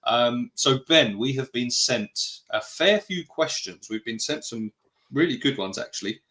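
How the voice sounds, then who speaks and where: A man's voice: medium pitch, expressive, measured pace, pushed and bright. British male host, casual live chat, friendly rambling asides. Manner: chatty, greets viewers, rambling asides. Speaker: podcast host.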